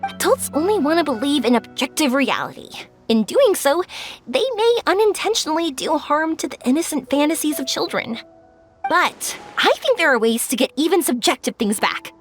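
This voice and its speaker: Video game heroine: youthful and high, cheerful, earnest, reassuring, light music underneath. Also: female voice, very animated, normal speed. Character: cheerful, kindhearted young woman, earnest and encouraging.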